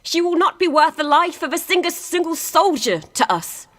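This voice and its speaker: A pragmatic, battle-wise commander, acted by a woman for a radio drama. The voice is high, and the lines come out measured and blunt. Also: very animated, a bit bright, full character voice. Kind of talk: radio play acting.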